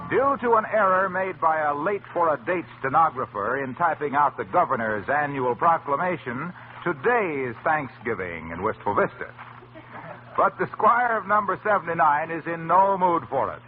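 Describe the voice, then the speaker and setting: Animated male 1940s radio announcer, highish voice. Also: normal speed, phone-quality sound, a little hiss or hum. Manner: polished, emphatic salesmanship. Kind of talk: 1940s radio announcing, scripted sponsor pitch. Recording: thin old-time radio recording with music.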